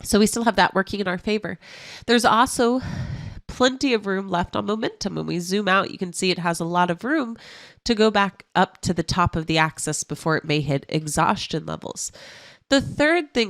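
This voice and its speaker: A woman who sounds stuffy from a cold, walking through trading charts as an options analyst in a clear, explanatory way. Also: mid-range voice, a little fast, no echo.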